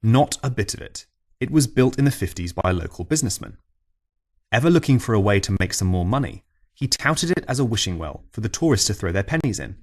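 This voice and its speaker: A man reading scripted local history as an audiobook narrator, with a wry storytelling manner. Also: lowish voice.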